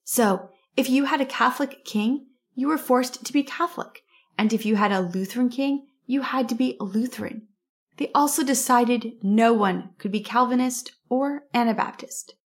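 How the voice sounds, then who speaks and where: A woman hosting a church history podcast, narrating in a steady storytelling way, a little hoarse from a cold. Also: fairly high pitch, moderate pace.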